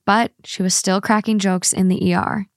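Even-voiced woman reading posts aloud. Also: medium pitch, normal speed, no echo, clean studio sound. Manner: reads long posts aloud in an even, steady voice. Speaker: podcast co-host.

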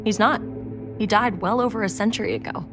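A woman's voice: mid-range voice, brisk, music in the background, close to an everyday voice. A woman playing an earnest, enthusiastic young girlfriend in an audio story, sincere and matter-of-fact.

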